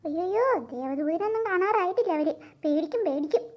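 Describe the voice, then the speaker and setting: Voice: extremely high pitch, lively intonation, brisk, highs cut off. A performer voicing a sweet-talking, secretive mother in a cartoon comedy, coaxing and reassuring.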